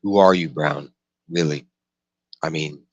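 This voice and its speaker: A man reads the part of a wandering gunslinger from a storybook. The voice is extremely deep and dry. He is terse and guarded, with a grudging, defiant streak. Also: takes it slowly, no room sound, muffled recording, exaggerated voice. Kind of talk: storybook character dialogue.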